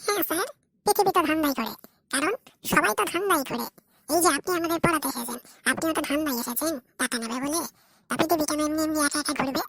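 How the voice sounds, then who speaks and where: A woman's voice: sits high, fast talker, vocal fry, a bit bright. A woman voicing a cheeky young schoolchild in a comic cartoon classroom. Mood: cheeky, matter-of-fact.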